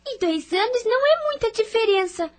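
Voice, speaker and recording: A woman's voice: very high-pitched, expressive, moderate pace. A woman playing a curious, persistent little sister, a young girl who asks and insists, in an audio drama. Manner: inquisitive, insistent.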